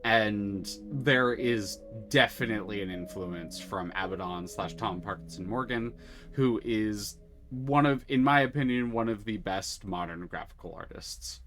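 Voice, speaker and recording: A man's voice: on the low side, a little slow, fairly bright tone. A man streaming a game, chatting casually and explaining the mechanics with enthusiasm and many restarts. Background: music.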